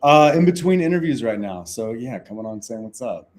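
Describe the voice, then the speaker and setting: A man dropping into a live stream between interviews, talking in a relaxed, casual way. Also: mid-range voice, brisk.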